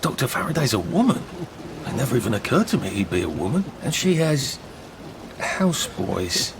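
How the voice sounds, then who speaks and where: A man's voice: medium pitch, big pitch swings, normal speed, creaky, noisy background. An actor playing a mild, hesitant, wry English everyman adventurer in a video game, musing politely in a British accent. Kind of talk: video game character acting.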